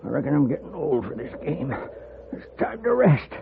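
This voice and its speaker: On a noisy old radio recording, a weary, stubborn old gunfighter in a 1950s Western. The voice is gravelly and rather high, swinging widely in pitch, with a crotchety frontier drawl. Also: male voice, big pitch swings, normal speed, phone-quality sound. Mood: weary, fading. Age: older.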